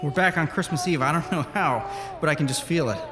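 A man's voice: fairly high pitch, fairly quick, music in the background, lightly acted. A man playing a sociable, encouraging scientist in an audio drama, speaking casually. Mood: friendly.

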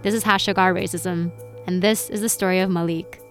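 A woman's voice: fairly low pitch, fairly even intonation, fairly quick. Woman host narrating over faint music. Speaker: podcast host. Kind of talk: scripted narration.

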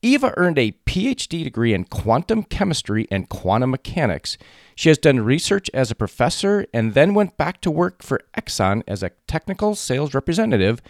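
An enthusiastic man hosts an interview podcast, his pitch swinging lively up and down. His voice is recorded dry and close, with no room sound. He talks in an upbeat, eager way. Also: no room sound. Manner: upbeat, enthusiastic, animated intonation. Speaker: podcast host.

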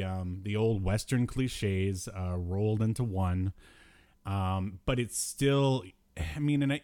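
A man with a fairly low voice co-hosts a casual podcast about pop culture. His delivery is halting and hesitant, as he feels his way toward each point in loose, conversational talk. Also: a little mellow. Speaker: podcast host. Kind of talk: casual chat about retro cartoons.

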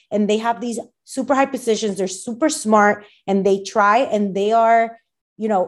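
A woman co-hosts a casual podcast in a mid-range voice. She speaks emphatically and with conviction, in a loose conversational way. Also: medium pitch. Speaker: podcast co-host. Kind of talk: casual chat.

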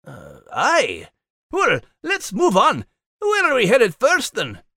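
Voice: medium pitch, huge pitch swings, slow, pushed and bright, no echo, clean studio sound, full character voice. A performer voicing a playful male animal co-host with a Scottish accent who teases with puns. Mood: curious. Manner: teasing, joking, dialect words. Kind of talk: scripted podcast banter.

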